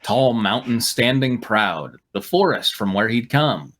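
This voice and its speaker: Animated man narrating, sarcastic. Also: medium pitch, unhurried. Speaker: host and narrator. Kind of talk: reading fan fiction aloud with mocking asides.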